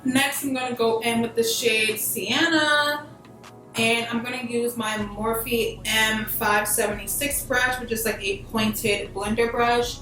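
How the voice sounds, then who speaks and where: A woman's voice: a little high, fairly even intonation, slow, bright, forceful tone. A young American woman giving a casual step-by-step tutorial in an informal, slangy, emphatic way. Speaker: beauty vlogger giving a makeup tutorial. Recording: very echoey room, distant mic, music behind. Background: background music.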